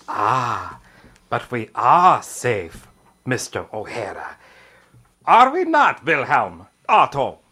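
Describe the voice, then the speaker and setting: A pompous, slow-talking German general. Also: male voice, mid-range voice, wildly swooping pitch, bright, forceful tone, husky, full character voice. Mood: smug. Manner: pompous, theatrical, deliberate. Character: haughty German Luftwaffe general in hiding, urbane, imperious. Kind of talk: 1950s radio drama acting.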